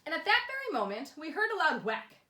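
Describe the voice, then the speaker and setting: A woman's voice: sits high, huge pitch swings, fairly quick, sharp tone, echoey room. A woman reading a rhyming children's storybook aloud, expressively and with dramatic emphasis. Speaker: storybook reader.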